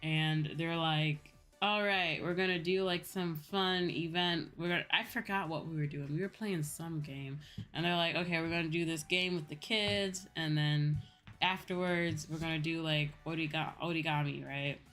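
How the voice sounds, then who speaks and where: A young adult woman streamer telling personal stories in a casual, animated, giggly chat style. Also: deep voice, normal speed. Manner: animated retelling with acted-out quotes and frequent giggles. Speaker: streamer, VTuber host. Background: background music.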